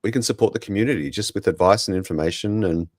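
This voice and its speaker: An Australian man with a croaky voice, casually hosting a podcast. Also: on the low side, fast talker, a little mellow, no room sound. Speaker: podcast host.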